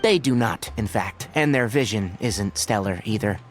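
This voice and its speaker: Man playing a precise, lively-pitched young scholar. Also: normal speed, over music, natural voice. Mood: instructive. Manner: precise, didactic, dry. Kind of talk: anime game dialogue.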